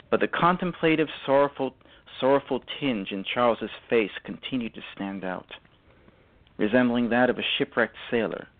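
A man reading literary narration for a radio drama, halting and often repeating words. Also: mid-range voice, phone-quality sound.

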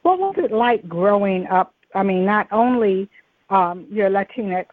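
A woman's voice: medium pitch, expressive, measured pace, no room sound. A woman interviewing in a conversational, reflective way, wandering through her thoughts before she gets to the question. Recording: muffled narrow phone-like line.

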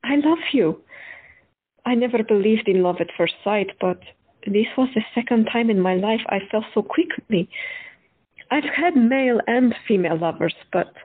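A woman's voice: on the high side, lively intonation, moderate pace, slightly rough. A woman playing a frank, teasing doctor in love, speaking candidly in an audio drama. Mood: teasing, affectionate. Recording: tinny phone-like sound.

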